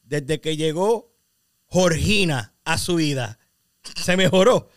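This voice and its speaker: A man's voice: on the high side, animated, slow pace, no echo, clean studio sound. A Puerto Rican man hosting a podcast, rambling and teasing in casual banter with many restarts. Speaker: podcast host.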